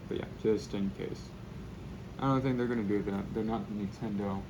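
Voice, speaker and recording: A young man chatting casually and rambling in a low-key way while he spray paints. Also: steady tone, moderate pace, background noise.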